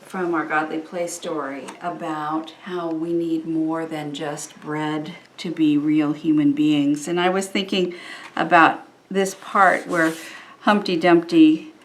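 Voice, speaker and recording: Slow-talking woman in an echoey room. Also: low-pitched, flat delivery. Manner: gentle, pondering, pauses mid-phrase, seeks agreement. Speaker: children's church lesson leader. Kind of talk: reflective talk to children about a story. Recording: echoey room with faint background noise.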